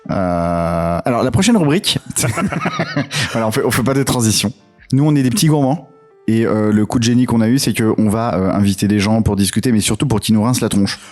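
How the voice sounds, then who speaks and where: Man, low voice, podcast co-host, casual joking delivery, faint music. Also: fast talker, a little mellow.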